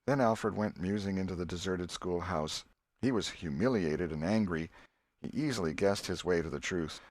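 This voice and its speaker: A male audiobook narrator reads literary prose from a script. His pitch sits on the low side. The recording is close and dry, with very little room sound. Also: moderate pace, dry recording.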